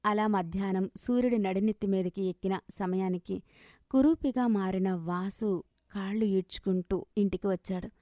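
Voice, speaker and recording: A woman narrating a scripted moral story as an expressive, dramatic storyteller. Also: mid-range voice, no echo, studio quality.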